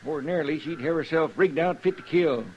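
A man's voice: fairly high pitch, quick pace, close to an everyday voice. An actor playing a talkative male townsman with a folksy drawl, in a 1950s radio drama. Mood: gossipy. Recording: dull, hissy 1950s radio recording.

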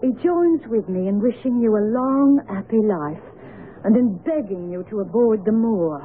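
An actress playing a solemn caretaker's wife in a radio drama, formal and grave in manner. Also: female voice, fairly high pitch, lively intonation, moderate pace, close to an everyday voice. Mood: solemn foreboding. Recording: noisy phone-like vintage radio broadcast.

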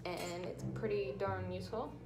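A woman's voice: mid-range voice, fairly even intonation, moderate pace. A young adult woman giving a casual how-to tutorial in a chatty, informal manner. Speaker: tutorial presenter. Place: small indoor room with bare block walls. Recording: echoey room with music behind. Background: music.

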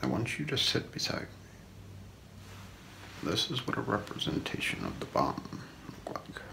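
A middle-aged man reading an ASMR true-crime story in a hushed, deliberate way, often slipping into a near-whisper. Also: lowish voice, huge pitch swings, vocal fry. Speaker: ASMR role-play narrator. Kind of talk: ASMR true-crime narration read from notes. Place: small room indoors. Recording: faint background noise.

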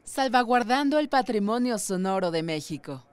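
A woman's voice: fairly high pitch, expressive, moderate pace, heavy background noise. A woman reading scripted narration for a news feature.

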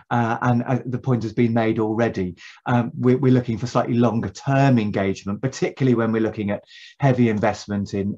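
A man's voice: mid-range voice, a little mellow, breathy edge, highs cut off. A middle-aged man speaking on an online panel from a home study, hesitant, with frequent fillers and restarts. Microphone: video call.

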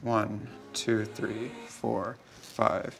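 A man's voice: lowish voice, fairly even intonation, vocal fry, a bit bright, soft background music, rough recording. Man bantering over a card game. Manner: playful banter, quick reactions. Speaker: player. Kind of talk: casual tabletop game chat. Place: indoor studio at a game table.

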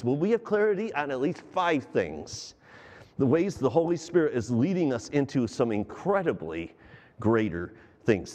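Animated middle-aged man preaching in a hall. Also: on the high side. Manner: animated, gesturing preacher who asks the congregation questions. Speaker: pastor. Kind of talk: sermon. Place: large church stage. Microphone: headset mic.

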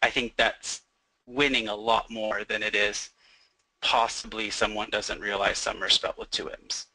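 A young man with a lowish but very bright, sharp voice, talking through software features in a loose, easygoing way. He fills pauses with "um" and "like". The sound is dead dry, with no room at all. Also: level tone, dry recording, low-quality recording. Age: young adult. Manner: fluent, uses fillers like "um" and "like". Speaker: software developer presenting. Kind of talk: casual explanation in a webinar.

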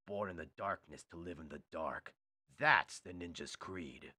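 A man voicing a solemn, mysterious ninja chameleon, speaking gravely in a hushed, measured way. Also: sits low, no room sound, voice pushed down, heavily acted.

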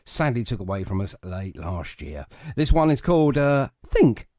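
A man's voice: mid-range voice, sing-song, normal speed, a little mellow, dry recording, studio quality. A man hosting a chatty music radio interview in a jokey, effusive way with slightly hesitant phrasing. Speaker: radio show host.

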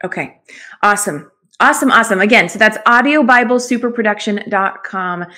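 A woman's voice: mid-range voice, a little fast, bright, forceful tone. A woman in her forties hosting a conversational interview in an enthusiastic, affirming way from a small room. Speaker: show host. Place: small room at home, indoors. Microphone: video call.

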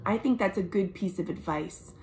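A young American woman reads a picture book aloud to children, expressive and deliberate, with friendly asides like a storytime librarian. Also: medium pitch, slight background noise, rough recording. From United States. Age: young adult. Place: small room at home.

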